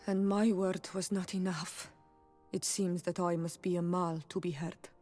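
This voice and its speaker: Flat, low-voiced dejected young woman. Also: unhurried, faint music behind. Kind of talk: video game cutscene acting.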